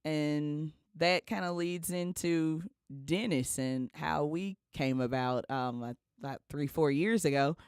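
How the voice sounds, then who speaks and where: A man's voice: a little high, takes it slowly, hardly any pauses, clean tone, dry recording, clean studio sound. A man chatting casually and telling stories as a podcast co-host, often chuckling as he talks. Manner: often chuckles while talking. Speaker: podcast co-host, audio engineer. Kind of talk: casual chat, storytelling.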